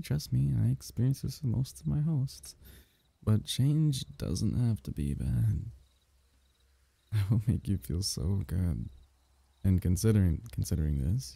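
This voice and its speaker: A man voicing a lonely, doting alien symbiote in an intimate, soothing ASMR roleplay, coaxing its host with a low, gravelly tone. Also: dark tone, no room sound, heavily acted.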